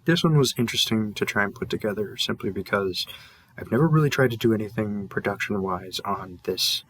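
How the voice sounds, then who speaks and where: A man's voice: fairly low pitch, a little fast, a bit bright, breathy edge, no room sound. A man who makes audio roleplays, talking casually and off the cuff to listeners in an earnest, hesitant way with trailing asides. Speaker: audio roleplay creator and performer. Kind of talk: casual, unscripted thank-you to listeners.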